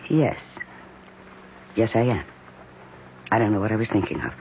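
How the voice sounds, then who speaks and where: A woman's voice: very deep voice, lively intonation, normal speed. An actress playing an obsessive, tender woman who dotes on her houseplants, in an intense, cooing, theatrical 1970s radio drama style. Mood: resolved, tender. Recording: dull, noisy old radio broadcast recording.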